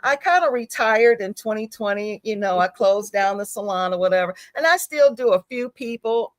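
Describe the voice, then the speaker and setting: A middle-aged woman talking conversationally as an interview guest, emphatic and animated, often checking in with short tag questions. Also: medium pitch, normal speed, no echo, poor audio. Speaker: talk show guest promoting events and a book.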